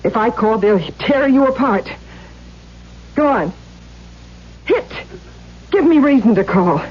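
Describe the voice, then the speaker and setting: A woman's voice: on the high side, expressive, slow, slightly rough, exaggerated voice. An actress playing a defiant, bitter tattooed carnival woman in a theatrical, mocking way in a 1970s radio drama. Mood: furious defiant. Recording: dull noisy 1970s radio recording with hum.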